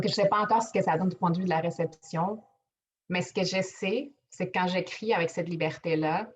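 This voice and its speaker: Young woman writer, native Spanish speaker, lowish voice, reading a prepared testimony; faint background noise. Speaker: writer and actor. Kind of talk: prepared personal testimony at a literary event. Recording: dull, band-limited audio with faint noise and clicks.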